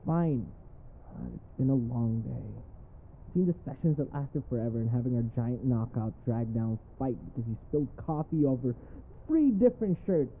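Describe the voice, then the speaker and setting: A smug, flirtatious male office rival played by a man in an intimate ASMR roleplay. His delivery is unhurried and very animated in pitch, teasing and sometimes murmured, with faint noise behind it. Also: creaky, narrow, tinny audio. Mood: tired, exasperated.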